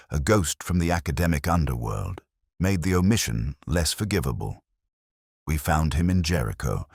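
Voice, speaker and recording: A man narrating a mystery in the first person as a weary, reflective detective, in a measured, literary, brooding manner. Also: a bass voice, breathy edge, dry recording, studio quality. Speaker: narrator of a detective audio drama.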